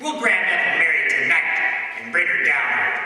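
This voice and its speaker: A man plays a cocky racketeer from an old radio crime play. His voice is high and very sharp, with brash gangster slang. He sounds distant in a very echoey hall. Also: sing-song, moderate pace, exaggerated voice. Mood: cocky, scheming. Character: cocky, stubborn male gang boss, scheming petty racketeer. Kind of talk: old-time radio crime drama acting. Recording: echoey hall, far from the mic.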